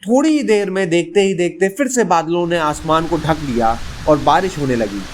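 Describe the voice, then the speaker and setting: A man narrating a scripted bedtime story in an expressive, dramatic storytelling manner. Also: fairly high pitch, moderate pace, a little hiss or hum.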